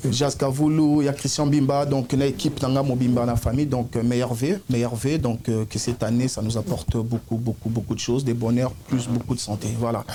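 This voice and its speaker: A man's voice: medium pitch, a little fast, few pauses, noisy background. A man from Congo, a judoka guest on a talk show, speaking casually and mixing French with Lingala. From Congo (DRC). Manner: relaxed, mixes French with Lingala.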